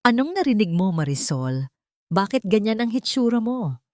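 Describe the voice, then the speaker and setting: Woman voicing a sharp, menacing scheming mistress. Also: medium pitch, very animated, moderate pace, no room sound, studio quality, voice pushed up, natural voice. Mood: suspicious, menacing. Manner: menacing, contemptuous. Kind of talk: audio drama storytelling.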